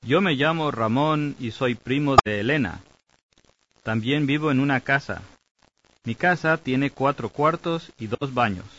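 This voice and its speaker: Man, mid pitch, course announcer, dull recording. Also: measured pace, fairly bright tone, slight background noise. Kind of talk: announcing lesson activities. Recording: dull lo-fi tape audio.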